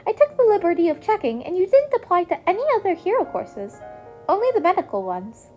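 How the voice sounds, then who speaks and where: A woman's voice: extremely high pitch, very animated, a little fast, a little mellow, highs cut off, soft background music, pitched above the performer's own voice. A woman voicing a cheerful, chatty male creature who is a school principal, speaking politely and eloquently in an acted story.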